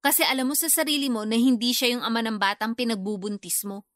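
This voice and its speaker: A woman's voice: a little high, steady tone, quick pace, fairly bright tone, no echo, clean studio sound, natural voice. A Filipino woman acting a blunt, accusing younger sister in a radio drama, scolding emphatically.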